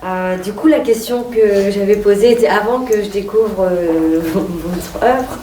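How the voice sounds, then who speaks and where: A woman's voice: mid-range voice, unhurried, mellow. A woman politely putting a question to an author, recorded in a room away from the microphone. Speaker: audience questioner at an author talk. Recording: distant, echoey room with faint noise.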